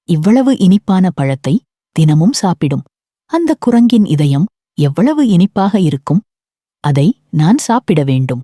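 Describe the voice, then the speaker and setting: A woman's voice: fairly low pitch, big pitch swings, a little slow, dark tone, dry recording, clean studio sound. A woman doing a greedy crocodile wife.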